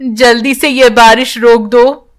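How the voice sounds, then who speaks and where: A woman's voice: on the high side, steady tone, slow. A woman voicing a devout, caring old village grandmother in a storybook tale, anxious for her family, fretful and tender. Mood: worried.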